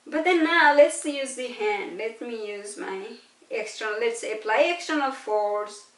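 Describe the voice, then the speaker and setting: A woman's voice: fairly high pitch, measured pace, fairly bright tone. A woman from Nepal with a Nepali accent giving an informal physics lecture, explaining through questions and frequent little checks for understanding. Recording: echoey room, distant mic.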